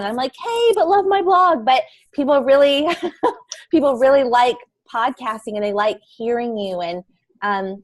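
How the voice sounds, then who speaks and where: High-voiced, laughing woman podcaster. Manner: chatty, laughs often at herself, many fillers. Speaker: podcaster and blogger on a panel. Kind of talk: casual panel chat on a livestream.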